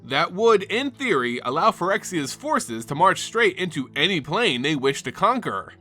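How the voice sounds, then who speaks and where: A man's voice: a little high, sing-song, normal speed, pushed and bright. A man hosting and narrating a fantasy lore show, reading a script in a dramatic, emphatic storytelling manner. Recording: background music under the voice. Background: music.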